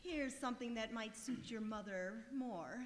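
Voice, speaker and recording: Lady playing a helpful shop clerk woman, old radio comedy, higher voice, polite sales talk, big echoey hall. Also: a little slow, a little hiss or hum, lightly acted. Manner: polite, eager sales patter. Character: courteous, helpful female lingerie saleswoman. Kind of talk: old-time radio comedy stage acting. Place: live performance in a museum hall. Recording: reverberant hall, live stage recording.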